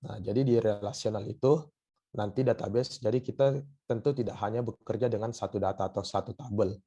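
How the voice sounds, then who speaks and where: A hoarse man with a cough, giving a university lecture online and often pausing on filler sounds. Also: lowish voice, warm tone. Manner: hesitant, many filler sounds.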